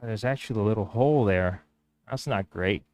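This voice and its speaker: Casting: male woodworker with Spanish as his first language. Smooth, mid-pitched voice, close dry sound, rambling workshop talk. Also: moderate pace, a little mellow, no echo. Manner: thinking aloud, hesitant restarts. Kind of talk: casual workshop vlog narration.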